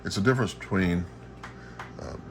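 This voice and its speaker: A man giving an informal equipment walkthrough as a hobbyist presenter, speaking haltingly and searching for his words. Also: deep voice. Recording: clearly noisy amateur recording.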